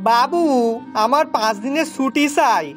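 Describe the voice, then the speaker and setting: Woman voicing naive rustic servant boy Pocha, high-pitched. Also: moderate pace, soft background music, close to an everyday voice. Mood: respectful, reassuring. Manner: deferential, rustic dialect turns of phrase. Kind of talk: cartoon voice acting.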